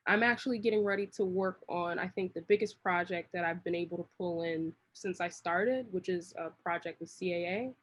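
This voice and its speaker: A woman's voice: on the low side, brisk, few pauses. A woman talking casually as an interview guest, in a rambling, slangy, long-winded way. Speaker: interview guest, creative director.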